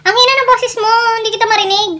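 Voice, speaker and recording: Very high-pitched young Filipina woman, noisy recording. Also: steady tone, quick pace. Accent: Filipino, Tagalog. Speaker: vlogger. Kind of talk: casual prank phone calls for a vlog. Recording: noisy home-video recording with clicks.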